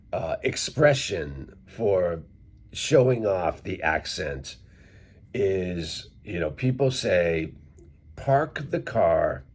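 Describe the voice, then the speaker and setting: A middle-aged man with a Worcester, Massachusetts accent walks his viewers through English pronunciation, spelling words out letter by letter. He talks slowly, and his lowish voice has a husky edge. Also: darkish tone. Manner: unhurried, explains step by step, spells out words. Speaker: English teacher vlogger. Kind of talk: casual teaching talk to camera.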